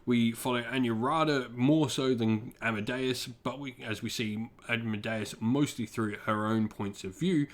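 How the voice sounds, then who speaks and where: A man's voice: mid-range voice, unhurried, few pauses. Man, mid-range voice, review host, informal commentary, conversational, tentative asides. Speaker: channel host, book reviewer. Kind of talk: informal scripted-outline review commentary to viewers.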